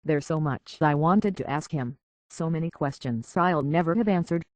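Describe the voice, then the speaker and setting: A man's voice: on the high side, normal speed, dry recording, close to an everyday voice. A man playing a world-weary, decent android detective in a video game, speaking in a wry, plainspoken, reflective way on slightly dull audio. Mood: somber, sincere. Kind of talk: video game character dialogue.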